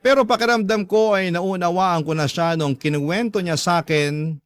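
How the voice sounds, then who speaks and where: A man's voice: high-pitched, moderate pace, no room sound, clean studio sound. A man with a Filipino accent, a radio narrator reading a listener's letter in the first person as a dramatic story.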